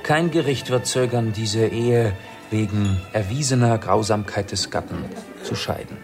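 An actor playing an urbane, self-assured aristocratic amateur sleuth in a German radio play, explaining precisely and theatrically. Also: male voice, fairly low pitch, measured pace, music in the background, close to an everyday voice. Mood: playful, suave.

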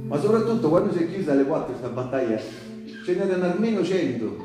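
Guy acting as excitable central italian townsman telling war story onstage, highish voice, really echoey, guitar music behind. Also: a little fast. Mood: subdued, grave. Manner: conversational, repetitive, with rhetorical tags. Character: ordinary townsman recounting a wartime resistance battle, excitable and earthy. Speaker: stage storyteller and actor. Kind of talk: live theatrical monologue in colloquial dialect-tinged speech. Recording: roomy live stage with music. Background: live guitar accompaniment.

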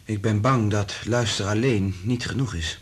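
An actor playing a concerned, clinical doctor in a radio drama, speaking in a soothing, restrained and earnest manner. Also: male voice, moderate pace, breathy edge. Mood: troubled. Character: concerned, clinical male doctor secretly in love with colleague. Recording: noisy radio play recording with low rumble.

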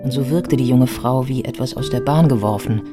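A man narrating a documentary radio drama from a script in a measured, literary storytelling manner. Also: medium pitch, over loud music.

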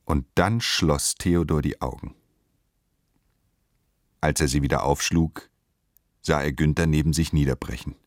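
A man narrates a literary radio play in a measured, solemn and deliberate manner. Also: very deep voice, no echo, clean studio sound.

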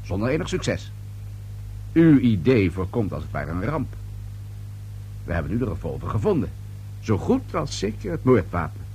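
A man's voice: fairly low pitch, darkish tone, husky, exaggerated voice. An actor playing a shrewd, sardonic male police inspector in a radio play, probing in short dry phrases. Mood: pressing. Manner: probing, dry, clipped, repeats answers pointedly. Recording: old radio play recording with faint hum.

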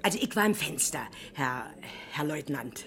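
A nosy working-class Berlin woman in a 1970s radio crime play. Her lowish voice swings widely in pitch as she rattles on, colloquial, garrulous and easily worked up. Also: lively intonation, moderate pace, a bit bright. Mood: chatty, self-important. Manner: garrulous, colloquial, breathlessly stammering in agitation. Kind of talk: 1970s German radio crime drama acting.

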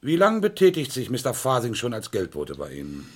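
A man playing a probing, middle-aged police inspector in a German radio crime play, dry and measured in his questioning. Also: medium pitch, huge pitch swings.

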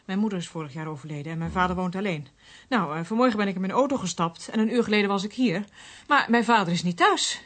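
An actress playing a polite, anxious daughter in a radio drama, speaking earnestly and hesitantly. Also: female voice, mid-range voice, fast, natural voice. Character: polite, anxious daughter reporting her father missing.

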